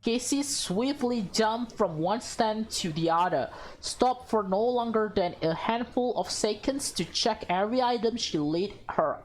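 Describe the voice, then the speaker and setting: A woman doing a casual let's play, reading a visual novel aloud with expressive, reactive commentary. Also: mid-range voice. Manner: expressive, reactive, slips into character lines. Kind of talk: casual playthrough commentary mixed with dramatic reading.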